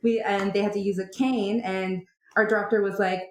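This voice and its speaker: A woman's voice: mid-range voice, fairly even intonation, moderate pace. A Canadian woman, an opera singer, chatting animatedly in a podcast interview, full of fillers and likes. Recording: roomy echo.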